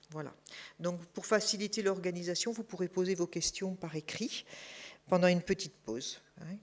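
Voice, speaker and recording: A woman presents the evening's speaker in a formal, prepared introduction. Her voice sits at a middle pitch, polite and measured, with phrase endings that drop away softly. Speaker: event host introducing a speaker. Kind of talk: formal introduction read from notes. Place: lecture hall.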